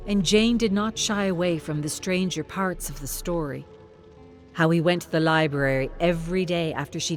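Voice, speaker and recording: A narrator reading a scripted dramatic story. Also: medium pitch. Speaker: narrator of game lore logs. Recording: music behind the voice. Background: music.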